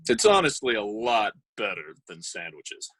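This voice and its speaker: A man voicing a calm coworker and reformed sandwich thief who preaches moving on, in a slightly husky voice. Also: lowish voice, moderate pace, slight background noise, low-quality recording. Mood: plain. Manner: calm, preachy, dramatic swings. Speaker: voice actor. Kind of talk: amateur dramatic reading.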